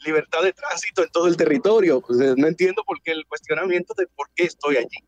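Higher-voiced Dominican man on dull online audio. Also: quick pace, dry recording. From Dominican Republic. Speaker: radio caller. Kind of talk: casual anecdote telling.

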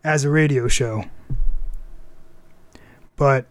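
A man's voice: medium pitch, drawn-out words, dry recording. A man hosting a casual podcast, chatting informally and a little hesitant when he improvises. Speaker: podcast host.

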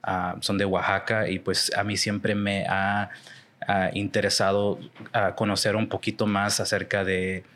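Brief: laid-back young male host, a bit low-pitched, casual and chatty. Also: unhurried. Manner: relaxed, casual slang, many fillers. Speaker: podcast host. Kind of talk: casual interview conversation.

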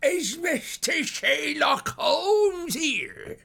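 A man voicing a petulant, obstinate old working-class man with a raspy, creaky, querulous delivery in an audiobook story. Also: extremely high pitch, big pitch swings, extremely slow, pushed and bright, pitched above the performer's own voice, heavily acted. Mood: secretive, stubborn. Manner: rustic ungrammatical speech, querulous.

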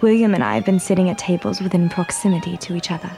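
A woman's voice: lowish voice, steady tone, fast talker, creaky, mellow, faint music behind. An actress playing a breezy, privileged schoolgirl in a period radio drama.

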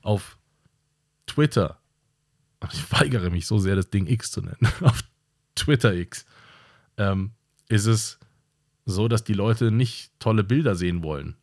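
Blunt, low-voiced male podcast host. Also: expressive, unhurried, pauses often. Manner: blunt and direct, repeats himself for emphasis. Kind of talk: casual podcast monologue.

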